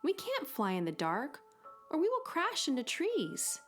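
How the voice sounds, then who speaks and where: A woman voicing an excitable, caring young female baby bat with a squeaky, eager delivery for a children's storybook. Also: unhurried, faint music behind, full character voice. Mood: startled, timid.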